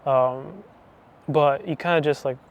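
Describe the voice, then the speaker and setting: A man in his twenties giving a personal faith testimony, talking softly and hesitantly with many restarts. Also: a little high, steady tone, a little slow, highs cut off. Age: 20s. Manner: soft, hesitant, full of restarts and fillers. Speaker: channel host giving testimony.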